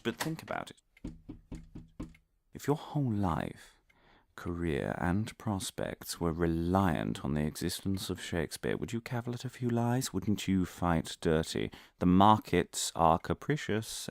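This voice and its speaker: A man's voice: sits low, very animated, unhurried, gravelly, a bit bright, no echo, clean studio sound, full character voice. An actor playing an earnest, muddled, pompous male academic obsessed with conspiracy, giving a theatrical, digressive comic lecture. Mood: conspiratorial, paranoid. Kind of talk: comic monologue delivered as a scholarly lecture.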